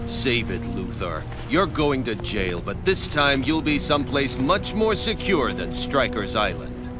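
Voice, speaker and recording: Resolute superhero, game cinematic, firm, medium pitch, loud score behind. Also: male voice, sing-song, a little slow, over loud music. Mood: stern. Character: resolute, earnest male superhero. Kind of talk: game cutscene narration and dialogue.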